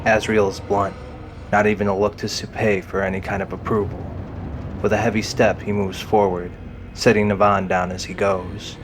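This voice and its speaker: A man's voice: fairly low pitch, little pitch movement, moderate pace, background noise. A man reading scripted dramatic narration as the narrator of an audio drama. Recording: music behind the voice.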